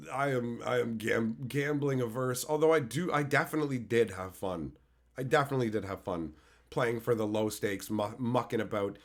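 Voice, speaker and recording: Male streamer, hoarse with a cold, loose and foul-mouthed, reading chat aloud. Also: mid-range voice. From Canada. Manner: loose, swears freely, answers chat by name. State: hoarse from being sick. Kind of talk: casual live-stream chat.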